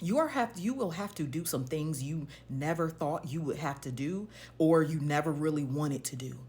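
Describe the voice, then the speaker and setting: A woman's voice: sits low, lively intonation, moderate pace, a little mellow. A woman giving an opinionated monologue to viewers, blunt and emphatic, posing pointed rhetorical questions as she goes. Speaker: social media commentator.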